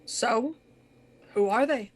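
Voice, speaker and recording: An actress playing a shrewd, composed police inspector in a radio murder mystery, deliberate and probing. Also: female voice, on the high side.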